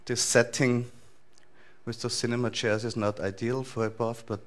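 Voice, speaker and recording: A man in his thirties with an Austrian accent, giving a relaxed, loosely structured talk to a conference audience in a large lecture hall. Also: mid-range voice, moderate pace. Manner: relaxed, thinking aloud with frequent fillers and restarts. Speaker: conference speaker.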